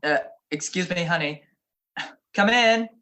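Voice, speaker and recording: Woman as breezy low-voiced male detective. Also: unhurried, bright, forceful tone, slight background noise. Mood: wry. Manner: wry, slangy, deflecting questions. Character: breezy, evasive married male private detective. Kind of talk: 1940s radio detective play.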